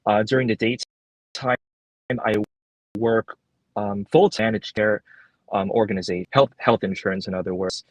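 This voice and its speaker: Low-voiced man, earnest, dry sound. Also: steady tone, normal speed, long pauses, dry recording, rough recording. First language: Cantonese. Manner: earnest, many fillers and restarts. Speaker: guest. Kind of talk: casual interview answers. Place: library at his workplace.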